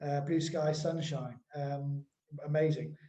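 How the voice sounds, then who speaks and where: A man's voice: on the high side, slow pace. Chatty Englishman in an echoey room. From England. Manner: relaxed, chatty, with fillers. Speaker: webinar guest. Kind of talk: casual chat.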